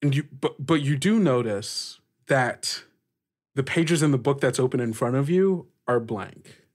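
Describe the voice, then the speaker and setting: Slow, deliberate man running a tabletop game. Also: mid-range voice, animated, darkish tone. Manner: halting, pausing mid-phrase, deliberate. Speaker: game master. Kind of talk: narrating a tabletop roleplaying game.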